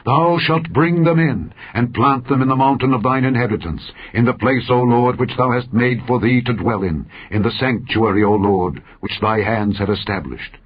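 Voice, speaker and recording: A man narrating an audio Bible in a solemn, measured, declamatory style, phrase by phrase. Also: medium pitch, dull audio, a little hiss or hum. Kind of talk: solemn scripture reading.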